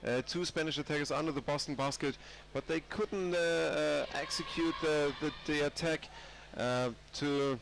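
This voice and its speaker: A man's voice: a little high, fairly even intonation, moderate pace, gravelly, hardly any pauses, a bit bright, slight background noise. A man with a cold commentating a live match, rambling with many fillers and restarts. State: has a cold. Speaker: sports commentator.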